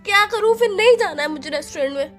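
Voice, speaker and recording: A woman's voice: extremely high pitch, animated, fast, soft background music. A young woman acting a sulky, stubborn girlfriend in a phone-call skit, tearful and snappy. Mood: stubborn, curt. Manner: petulant, snappy, curt refusals. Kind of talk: acted romantic phone-call skit in Hindi.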